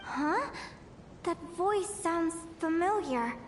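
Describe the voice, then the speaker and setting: High-voiced, wistful young woman explorer. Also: expressive, takes it slowly, a little hiss or hum, heavily acted. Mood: bewildered. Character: gentle, troubled long-lived young woman explorer, dreamy and wistful. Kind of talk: video game voice acting. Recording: music behind the voice.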